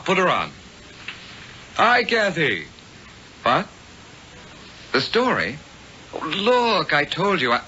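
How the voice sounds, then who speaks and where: A man's voice: a little high, sharp tone, heavily acted. An actor playing an urgent, protective young man in a 1970s radio drama, insistent and emphatic. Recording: muffled old radio, faint noise.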